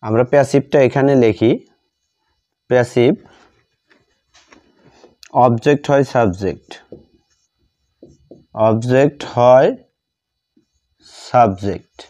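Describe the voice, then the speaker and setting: A man with a Bengali accent teaching a grammar lesson step by step, halting and repeating phrases in short fragments as he writes. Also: mid-range voice, mellow. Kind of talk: step-by-step grammar lesson, spoken while writing. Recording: dull lo-fi sound.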